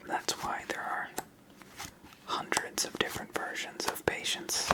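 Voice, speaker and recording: Whispered voice of unclear sex, soft and unvoiced, ASMR style, game commentary, channel host. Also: unhurried, rough recording.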